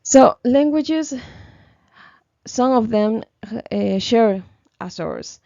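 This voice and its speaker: Young woman presenting for class while sick, croaky voice, slow and halting, muffled dry mic. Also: fairly high pitch, lively intonation, warm tone, no echo. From Ecuador. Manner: hesitant, many fillers and restarts. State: sick, slightly hoarse. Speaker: student presenter. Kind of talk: class presentation, read from notes.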